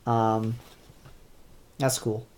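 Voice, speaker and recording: Slow, stuffed-up casual comic reviewer. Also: medium pitch, little pitch movement, darkish tone, slight background noise. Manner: unhurried and offhand, many ums and restarts. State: stuffed up, congested.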